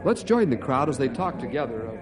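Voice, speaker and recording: A man's voice: mid-range voice, sing-song, a little fast, crowd noise, close to an everyday voice. A man voicing the announcer and narrator of an old radio drama, emphatic and dramatic, speaking straight to the listeners. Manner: dramatic, emphatic listing, addresses listeners directly. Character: adult male radio announcer narrating the story.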